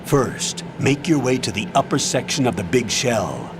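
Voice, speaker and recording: An actor playing a stern, authoritative military commander in a video game, giving a measured, clipped mission briefing. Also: male voice, medium pitch, lively intonation, noisy background, natural voice. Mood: businesslike, commanding. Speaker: commanding officer. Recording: loud music and effects underneath.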